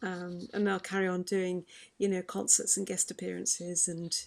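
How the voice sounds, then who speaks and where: Chatty woman with a gravelly edge. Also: fairly low pitch, level tone, normal speed. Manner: chatty, explanatory, warm advice-giving. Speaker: vocal health expert answering questions. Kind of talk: online forum Q&A.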